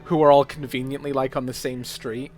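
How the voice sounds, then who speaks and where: A man's voice: mid-range voice, level tone, brisk. A man on a discussion panel talking casually with a dry, sardonic manner. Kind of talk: casual chat. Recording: music playing behind the voice.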